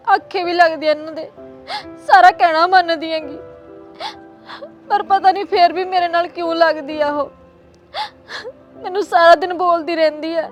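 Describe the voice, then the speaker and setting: A woman's voice: sits high, muffled recording, lightly acted. A young woman with a Punjabi accent playing a put-upon daughter-in-law, pleading and weepy, then defiant.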